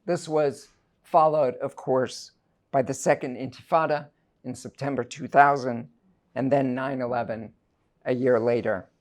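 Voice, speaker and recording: A middle-aged man from South Africa, a university professor delivering a prepared lecture in a measured, deliberate, scholarly way. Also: medium pitch, steady tone. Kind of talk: prepared academic lecture, read aloud.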